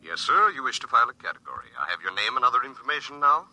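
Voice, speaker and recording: A sharp, officious robot clerk. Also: male voice, medium pitch, sing-song, very fast. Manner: formal, clipped bureaucratic phrasing. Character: polite officious robot clerk in science fiction radio play. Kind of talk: 1950s radio drama acting. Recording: noisy old 1950s radio recording.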